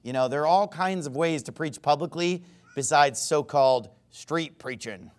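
A pastor delivering an emphatic, repetitive sermon, mocking at times. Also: male voice, fairly high pitch, moderate pace.

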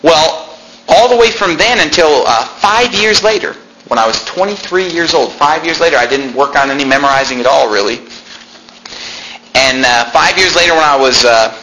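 Bright-voiced young man in echoey hall. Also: on the high side, animated, moderate pace. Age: 20s. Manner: conversational, repeats phrases, chatty asides to the audience. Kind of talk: casual personal testimony. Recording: roomy echo, faint noise.

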